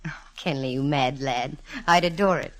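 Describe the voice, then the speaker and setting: A woman's voice: very deep voice, moderate pace. An actress playing a witty, self-assured female private detective in a 1940s radio drama, with wry, teasing banter. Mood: teasing, breezy. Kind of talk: 1940s radio detective acting with first-person narration. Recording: vintage 1940s radio, music behind.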